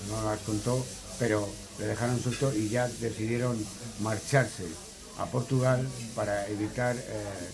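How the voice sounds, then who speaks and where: A man recounts family memories. He speaks haltingly and repeats small words while searching for the next. Clear background chatter surrounds him. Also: fairly low pitch, low-quality recording. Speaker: interviewee giving family testimony. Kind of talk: spontaneous oral testimony.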